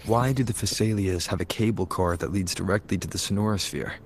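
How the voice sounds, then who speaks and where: A man's voice: low-pitched, fairly quick. A man voicing a wary, level-headed game adventurer, direct and questioning, with music behind him. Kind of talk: video game voice acting, scripted dialogue.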